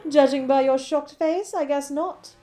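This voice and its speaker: A woman's voice: high-pitched, unhurried, warm tone, voice pushed up. A woman playing a bored, taunting supervillain in a superhero audio roleplay, drawling and mocking theatrically. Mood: gleeful taunting.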